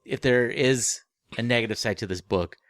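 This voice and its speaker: A man co-hosting a casual book discussion podcast, speaking haltingly and often circling back to rephrase. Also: mid-range voice, a bit bright, dry recording, studio quality. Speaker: podcast co-host.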